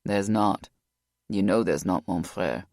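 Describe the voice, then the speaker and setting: Rock is a hard-bitten man with a deep, gravelly voice who talks low and gently, resigned to his fate, as a woman narrator lowers her voice for him. The storybook reading sounds close and dry. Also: takes it slowly, no room sound, full character voice. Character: grave-voiced man, loyal tough ex-criminal.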